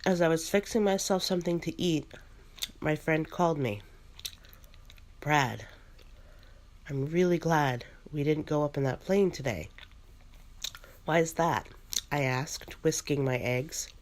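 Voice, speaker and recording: A woman chewing gum as she narrates a first-person ghost story in an ASMR style, pausing between short phrases. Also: deep voice, slight background noise. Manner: chews gum while narrating.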